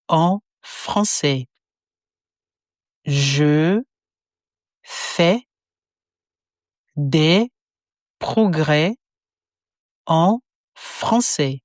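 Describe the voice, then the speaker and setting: Lesson narrator, woman, low and very slow, calm word-by-word delivery. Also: no room sound, studio quality. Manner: calm, deliberate, word-by-word enunciation. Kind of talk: slow phrase-by-phrase drill reading.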